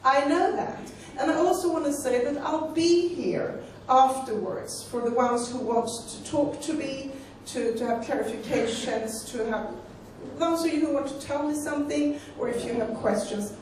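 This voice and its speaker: A Swedish-accented woman in her forties gives a casual lecture, doubling back on words as she looks for the right one in English. Her voice sits fairly high. It was recorded from well back in a very echoey hall. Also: normal speed, distant mic, a little hiss or hum. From Sweden. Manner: conversational, repeats words while searching for them. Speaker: sex educator. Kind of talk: live lecture to an audience.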